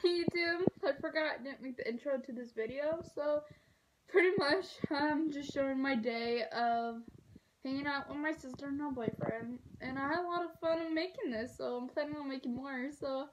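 A woman's voice: high voice, normal speed. A teenage woman vlogging casually to her phone at arm's length, rambling and giggling with lots of fillers, outdoors by a treehouse. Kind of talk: casual vlog talk to camera. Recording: noisy phone audio outdoors.

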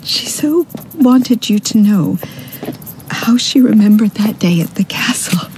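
A woman's voice: medium pitch, expressive, unhurried, darkish tone, faint music behind, poor audio. An actress playing a genteel, middle-aged mother, flustered and rambling, in a radio drama. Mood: grieving, faltering.

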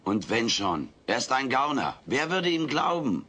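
A man's voice: medium pitch, sing-song, measured pace. A man playing a brash, mischievous, wisecracking alien creature in a sitcom audio play, cheeky and theatrical. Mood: insistent. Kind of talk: German-dubbed sitcom audio play acting. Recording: noisy old cassette audio play.

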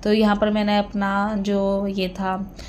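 A woman in her thirties narrating her day at home in a relaxed, chatty voice-over, with many fillers and tag questions. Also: medium pitch, slow pace, a little hiss or hum. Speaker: vlogger.

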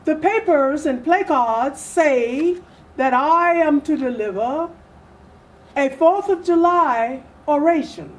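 An older woman giving a solemn, deliberate dramatic reading of historical oratory, with long pauses, in a small room. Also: high-pitched, lively intonation, rough recording. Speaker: readers theatre performer. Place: small furnished indoor room.

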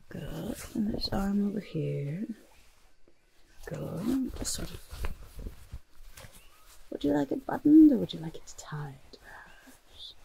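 A woman voicing a fussy, kindly goblin disguise master in a whispery, breathy, coaxing ASMR roleplay, close to the microphone. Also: medium pitch, lively intonation, takes it slowly, long pauses, slight background noise, low-quality recording. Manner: coaxing, gentle, hesitant asides, repeated words. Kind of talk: soft-spoken ASMR roleplay.

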